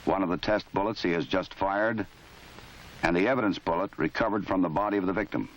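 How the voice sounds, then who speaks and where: A man giving formal, measured scripted narration for an old training film. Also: sits low. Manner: measured, formal, matter-of-fact. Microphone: voice-over. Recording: dull lo-fi 1970s film soundtrack.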